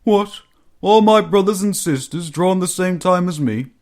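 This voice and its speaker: A man's voice: high voice, measured pace, a little mellow, pitched above the performer's own voice. A man voicing a big, proud, self-important male steam engine in a storybook, with a pompous, dismissive manner. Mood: gloomy, worried.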